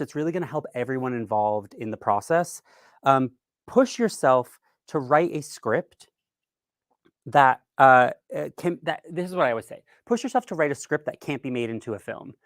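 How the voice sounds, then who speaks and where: Male presenter, mid-pitched, conversational and explanatory, slightly hesitant, close dry recording. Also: smooth, dry recording. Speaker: writer-director giving a keynote talk. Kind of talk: informal instructional presentation. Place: virtual event, speaking from a dry room.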